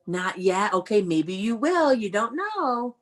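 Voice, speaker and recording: A woman's voice: mid-range voice, big pitch swings, slow. An American woman teaching young children in an interactive read-aloud over a video call, praising and prompting them with questions. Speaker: elementary school teacher.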